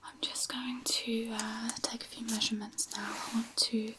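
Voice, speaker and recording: A woman speaking in a soft, breathy whisper, gently explaining each step as a physiotherapist in an ASMR medical roleplay. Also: on the high side, little pitch movement, moderate pace, noisy background. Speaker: ASMR performer playing a physiotherapist.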